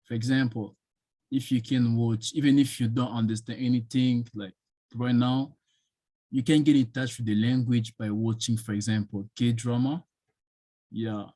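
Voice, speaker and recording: Hesitant man with a dark, dry voice. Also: mid-range voice, no room sound. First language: French. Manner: hesitant, many fillers, thinking aloud. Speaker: guest speaker on studying abroad. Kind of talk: answering questions in an online session.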